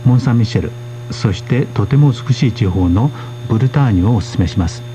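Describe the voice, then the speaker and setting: A man hosting a radio language course, speaking in a polite, steady way as he interprets for his guests. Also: fairly low pitch, fast, slight background noise. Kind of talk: radio lesson presenting.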